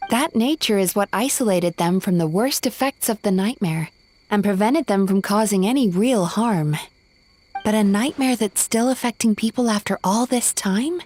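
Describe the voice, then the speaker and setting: A woman's voice: medium pitch, normal speed, darkish tone, natural voice. Thoughtful actress as a scholarly fantasy woman. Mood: pensive. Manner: explanatory, reflective. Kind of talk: scripted fantasy game dialogue. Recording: music behind the voice.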